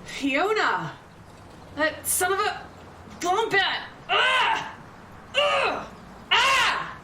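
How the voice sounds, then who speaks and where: A woman's voice: extremely high pitch, big pitch swings, extremely slow, full character voice. A woman playing a feisty, hot-tempered, sardonic ally in an audio drama, blunt and emphatic in her speech. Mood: anguished fury. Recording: distant from the mic, faint noise.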